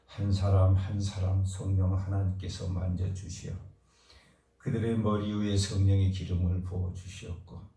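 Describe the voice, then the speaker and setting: A low, dark-toned man preaching with a slight hoarseness from a cold. He moves between a fervent formal prayer and relaxed, chatty announcements. The sound is roomy and distant, caught from afar in a church hall. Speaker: pastor. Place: church sanctuary.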